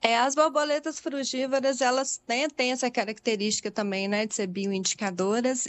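A woman's voice: on the high side, no room sound. A middle-aged woman from Belo Horizonte with a Minas Gerais accent, a butterfly researcher patiently explaining her work in an interview and often ending phrases with a tag question. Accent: Minas Gerais Portuguese. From Belo Horizonte, Brazil. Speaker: butterfly researcher and project coordinator.

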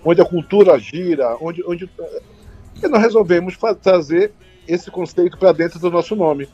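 A man chatting as an interview guest, husky and halting, often repeating words and adding tag questions. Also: sits high, animated, warm tone. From Bragança, Pará, northern Brazil. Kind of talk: casual interview answers. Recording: dull lo-fi line, music behind.

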